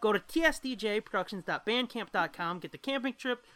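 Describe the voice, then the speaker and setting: A man's voice: high-pitched, expressive, brisk. A man co-hosting a podcast in casual chat, joking darkly and swearing freely. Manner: irreverent, foul-mouthed, dark joking. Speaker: podcast co-host.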